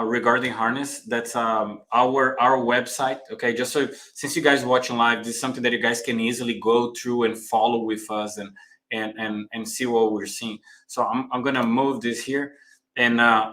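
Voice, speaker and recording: A man with a sharp, bright voice sits at a middle pitch. He talks casually as a technical director, guiding live viewers through a product range.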